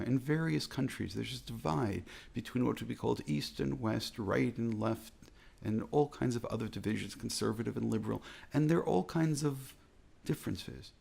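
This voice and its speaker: An American man speaks as a rabbi in a measured, earnest reflective talk. His voice is heavily gravelly with a husky edge. He leans on repeated phrases to drive his points home. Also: mid-range voice, darkish tone. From United States.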